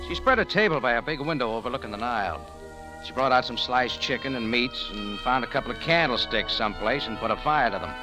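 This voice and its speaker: A man's voice: mid-range voice, expressive, quick pace, close to an everyday voice, rough recording. A man acting in a 1940s radio drama as a tough, wry American cafe owner turned sleuth, with an American accent and clipped hardboiled delivery. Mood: wry narrating. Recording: vintage radio broadcast with music.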